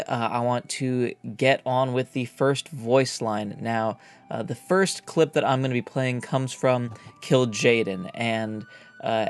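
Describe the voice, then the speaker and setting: A man hosting a gaming video, giving informal lore commentary in a hesitant, explanatory way, as if thinking aloud. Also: medium pitch. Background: background music.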